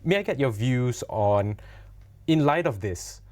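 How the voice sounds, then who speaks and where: A man's voice: medium pitch, lively intonation, measured pace, a little mellow. A man hosting a broadcast interview, asking long, conversational questions with some fillers. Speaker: host.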